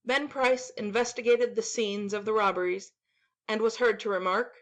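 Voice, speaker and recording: A woman's voice: on the high side, fairly even intonation, normal speed, fairly bright tone. A woman reading a classic story aloud as an audiobook narrator, in scripted narration.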